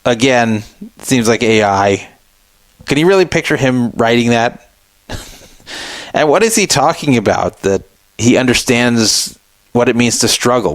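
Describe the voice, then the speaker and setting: A man's voice: on the low side, dry recording. Sarcastic male talk-radio host. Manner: sarcastic, scoffing at a statement he reads aloud. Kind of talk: opinionated talk radio commentary.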